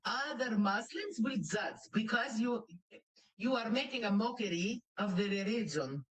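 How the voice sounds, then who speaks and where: A woman's voice: a little high, animated, a little slow, distant mic, rough recording. A Greek woman hosting a reaction commentary, speaking in blunt, broken English and lecturing with indignation. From Greece. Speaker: commentary channel host.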